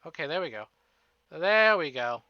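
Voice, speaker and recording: A man hosting a casual livestream, chatting in an excitable, playful, profane way. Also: fairly high pitch, moderate pace, no echo. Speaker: livestream host. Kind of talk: casual streaming chat between visual novel readings. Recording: dull lo-fi stream audio under music. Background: prominent music.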